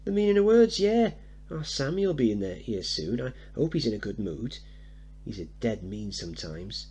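A man's voice: mid-range voice, big pitch swings, normal speed, a little mellow, a little hiss or hum, lightly acted. A man playing a scruffy, cheeky, boastful seven-year-old working-class boy, slangy and blunt, in a stage play reading.

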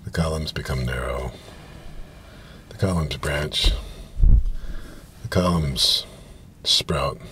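A man in his fifties with a gravelly voice gives a halting, deliberate literary reading, pausing and repeating himself. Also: extremely low pitch. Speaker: reader. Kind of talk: literary reading of science fiction prose. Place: inside a parked car, small space. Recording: steady ambient noise of car interior.

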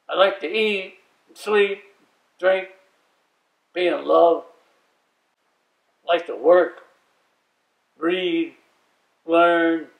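An elderly man in his eighties reading poetry aloud with deliberate, expressive phrasing and pauses, seated in a room at home. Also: fairly high pitch, gravelly. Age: 80s. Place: small domestic room indoors, seated at a wooden table. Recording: roomy, echoing, slightly distant microphone.

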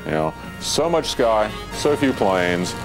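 An American man giving an unscripted, halting interview. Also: lowish voice, very animated. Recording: music behind the interview.

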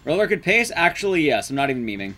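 A man's voice: on the high side, sing-song, quick pace, bright, forceful tone, slight background noise. A young adult man giving casual, joking, sweary live commentary as he plays a game. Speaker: game streamer, speedrunner. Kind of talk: casual live stream commentary while playing.

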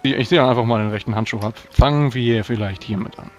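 A man's voice: medium pitch, fast talker. A man playing a tabletop roleplaying game, speaking in solemn, formal in-character speech.

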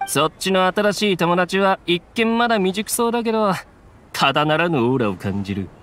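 A man's voice: high voice, expressive, a little fast, a bit bright, slight background noise. An actor playing a good-natured, slightly awkward young male mercenary in a video game, speaking in casual comradely banter. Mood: friendly. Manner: casual, rough comradely speech.

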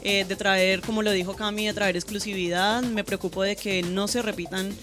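A woman, a boutique owner interviewed on a live show, steady and pausing with "eh", recorded outdoors at night. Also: medium pitch. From Colombia. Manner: steady, with frequent "eh" pauses. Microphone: handheld microphone. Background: music.

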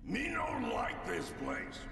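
A man playing a brutish, simple-spoken giant robot dinosaur in a video game, blunt and gravelly. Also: high-pitched, wildly swooping pitch, slow pace, full character voice. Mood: wary, grumbling. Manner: blunt, broken grammar. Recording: echoey hall, distant, music.

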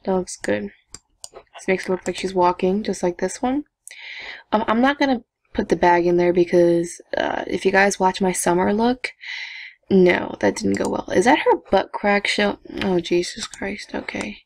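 A young woman chatting casually to viewers while she builds a game avatar, talking to herself with giggly asides in a low evening voice. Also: steady tone, normal speed, gravelly, dry recording. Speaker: gaming vlogger. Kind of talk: casual screen-recording commentary.

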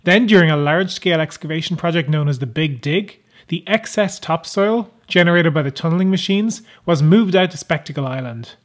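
A man narrating a how-to sailing vlog in a measured, explanatory manner. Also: on the high side. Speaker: sailing vlogger.

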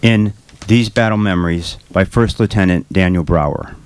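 A man's voice: sits low, normal speed, clear, resonant tone. A man formally reading out citations as part of a staged reading in a bookshop. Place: bookshop event before a seated audience.

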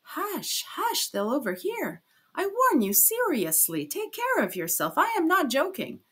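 A woman's voice: mid-range voice, expressive, measured pace, fairly bright tone. A woman voicing a sly male examining lawyer in an audiobook, with an ironic, needling, falsely good-humored manner. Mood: urgent warning. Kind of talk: dramatized audiobook character reading.

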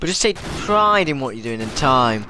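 Teen gamer with a cold. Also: male voice, mid-range voice, sing-song, moderate pace, background noise. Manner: rambling, repeats himself, frequent restarts. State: has a cold. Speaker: gaming YouTuber. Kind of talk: casual vlog commentary over gameplay.

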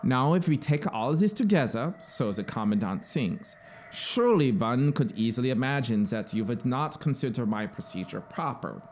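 A man's voice: medium pitch, expressive, moderate pace, darkish tone, a little hiss or hum, close to an everyday voice. A man playing a zealous, scheming penal colony officer in a story, emphatic and persuasive. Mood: confiding, suspicious. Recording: clear background synthesizer ambience.

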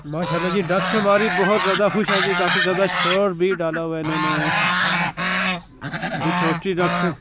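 Man, chatty vlogger, slightly high voice, honking birds and yard noise behind. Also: normal speed. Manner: relaxed, chatty, says "ji" often. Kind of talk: casual vlog narration. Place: outdoor village yard with ducks.

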